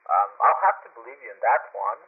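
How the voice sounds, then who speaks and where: Man playing husky radio co-host, narrow band. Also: on the low side, lively intonation, brisk. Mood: chatty, hesitant. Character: eager newcomer male radio co-host, slightly unsure. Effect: radio filter. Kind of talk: scripted radio host banter and news. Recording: band-limited muffled radio sound.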